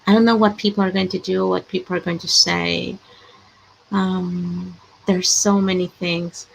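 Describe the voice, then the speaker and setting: A woman hosts a casual livestream with a low, gravelly voice. She sounds worried and hesitant, sighing between thoughts. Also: level tone, unhurried, lo-fi sound, a little hiss or hum. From Ecuador. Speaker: host of a live chat.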